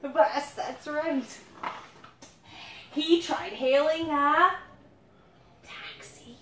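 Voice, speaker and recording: A woman reading her own picture book aloud to a young child, playfully dramatic, drawing out words and pausing to ask questions. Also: high-pitched, fairly bright tone. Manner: dramatic build-ups with drawn-out words. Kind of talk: animated storytelling read aloud to a young child. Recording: roomy, noisy, distant handheld recording.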